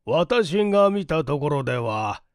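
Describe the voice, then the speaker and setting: A man voicing a mild, sheepish gentleman and father in an audiobook, speaking in a deliberate, self-deprecating way. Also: a little high, big pitch swings, clean tone, no echo, studio quality. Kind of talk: audiobook character reading.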